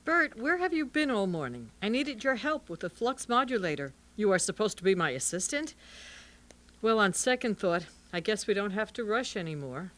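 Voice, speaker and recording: A woman's voice: medium pitch, very animated, moderate pace, fairly bright tone. A woman playing a proud, competitive scientist in an old-time radio drama, dramatic and imperious. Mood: miffed, imperious. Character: proud, competitive woman scientist, bitter rival, stubbornly undefeated. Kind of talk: Golden Age radio drama acting.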